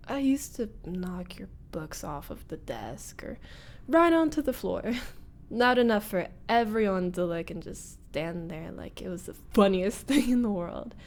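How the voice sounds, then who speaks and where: Female actor as young ex-bully roommate, needling yet nervous; intimate ASMR drama, breathy, drawn-out pacing, slight background noise. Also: mid-range voice, darkish tone. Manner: halting, breathy, drops into whispers, nervous chuckles and sighs. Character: teasing young woman, former school bully turned nervous roommate. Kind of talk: intimate ASMR-style roleplay monologue.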